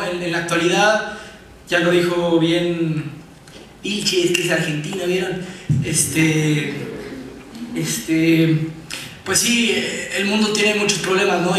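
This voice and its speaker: A man's voice: high-pitched, fairly bright tone. A man on a panel, speaking hesitantly with many fillers and tag questions. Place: festival screening hall. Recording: echoey hall, distant mic, faint noise.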